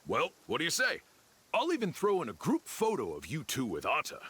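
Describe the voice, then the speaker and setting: A man's voice: on the high side, wildly swooping pitch, a little fast, pushed and bright, breathy edge, heavily acted. An actor playing a money-minded, pushy huckster in a video game, with a wheedling salesman's manner. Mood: impatient, coaxing.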